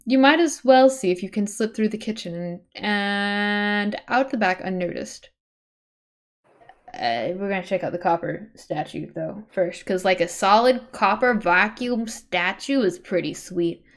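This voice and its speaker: A woman's voice: mid-range voice, normal speed. A woman streamer reading a webcomic aloud, mixing dramatic narration with casual, chatty asides.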